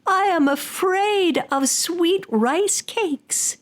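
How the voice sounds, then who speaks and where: A woman's voice: extremely high pitch, extremely slow, pitched above the performer's own voice, full character voice. A woman storyteller voicing a clever, sly old woman in a told folktale. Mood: mock-fearful.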